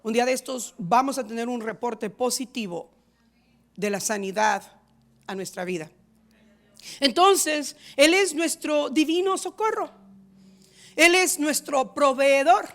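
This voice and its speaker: A woman pastor preaching a sermon in a rhythmic, repetitive style, moving between loud stressed lines and quiet asides. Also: sits high, expressive, measured pace, long pauses. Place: church in San Diego.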